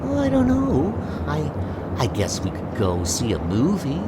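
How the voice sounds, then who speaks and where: A man's voice: fairly high pitch, wildly swooping pitch, measured pace, heavy background noise, pitched above the performer's own voice. A man voicing a nervous, awkward young woman in a comic book dub, with hesitant delivery. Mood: shy.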